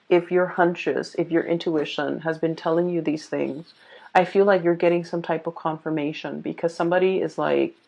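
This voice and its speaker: A woman giving a casual off-the-cuff tarot reading, explaining things in a halting, hesitant way. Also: lowish voice, creaky, a little mellow. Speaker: tarot reader. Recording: dull, muffled lo-fi sound.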